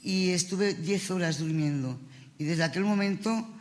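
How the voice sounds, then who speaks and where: A woman's voice: fairly low pitch, unhurried. Female, middle-aged, Spain accent, inmate delivering a prepared testimony; long hall reverb. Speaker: prison inmate giving testimony. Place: speaking to an assembly in a prison. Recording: reverberant large hall, public address.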